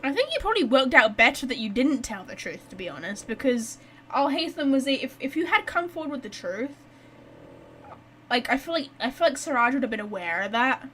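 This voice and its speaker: High-pitched woman doing casual gameplay commentary. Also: sing-song, a little fast, a bit bright. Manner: chatty, reacts aloud to the game. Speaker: game streamer. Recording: faint background noise.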